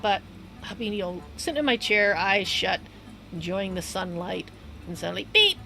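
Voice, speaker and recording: A hoarse woman chats on her game stream, rambling from one thought to the next and laughing easily. Her voice has a gravelly edge and sits at an ordinary pitch. Bouncy game music plays clearly beneath her. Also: sing-song, normal speed, pushed and bright, poor audio. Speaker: livestreamer. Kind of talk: casual rambling chat while gaming. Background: upbeat game music.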